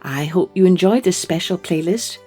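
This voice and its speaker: A woman's voice: fairly low pitch, moderate pace, mellow, faint music behind. A woman hosting a children's podcast, reading a scripted sign-off to listeners. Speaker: podcast host.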